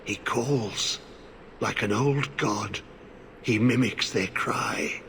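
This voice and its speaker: An actor playing a half-mad, corrupted old warden in a video game, haunted and cryptic, speaking in halting fragments. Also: male voice, lowish voice, fairly bright tone, a little hiss or hum, exaggerated voice. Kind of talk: video game cutscene acting.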